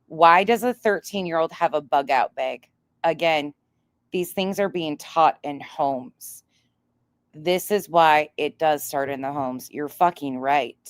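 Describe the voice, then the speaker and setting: Low-voiced, blunt woman reacting on livestream. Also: takes it slowly, no echo. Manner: blunt, sweary, incredulous. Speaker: livestream host. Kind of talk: casual livestream reaction commentary.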